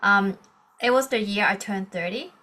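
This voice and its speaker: A woman in her thirties with a Hong Kong accent and a bright, clear-edged voice, her first language Cantonese. She tells her story earnestly as a podcast guest, restarting phrases often as she searches for words. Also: medium pitch, normal speed. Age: 30s. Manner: earnest, with frequent restarts and non-native grammar. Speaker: guest, leadership coach. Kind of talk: podcast interview, personal story.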